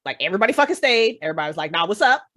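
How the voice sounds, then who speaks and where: A woman's voice: mid-range voice, very animated, rapid-fire, fairly bright tone. A woman chatting casually as an interview guest, blunt and emphatic, with slangy phrasing and easy swearing. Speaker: guest, writer and creator of audio dramas. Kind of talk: casual, profane fan chat.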